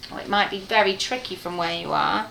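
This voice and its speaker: A woman reading a children's bedtime story aloud in an expressive, playful way, speaking directly to her listener. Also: medium pitch, a little fast, gravelly, a little hiss or hum. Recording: roomy, echoey room sound.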